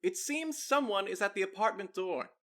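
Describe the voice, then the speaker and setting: A lovestruck young romantic in an audio drama. He speaks in grand, flowery phrases with theatrical devotion, his pitch sweeping up and down. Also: animated, normal speed, sharp tone, a little hiss or hum. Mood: ardent, dramatic.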